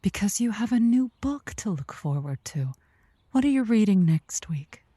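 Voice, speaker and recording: A woman playing a sincere, theatrical radio advice host who speaks in a measured, dramatic way. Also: medium pitch, sing-song, dark tone, slightly rough, no echo. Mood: tender, hushed. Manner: measured, dramatic, preacher-like when urging. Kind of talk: audio drama radio call-in acting.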